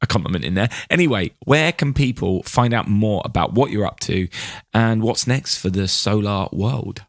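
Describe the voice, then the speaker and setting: A man's voice: on the low side, animated, moderate pace, no room sound, studio quality. A man hosting a podcast, chatting casually and with effusive enthusiasm. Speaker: podcast host. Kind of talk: casual podcast interview chat.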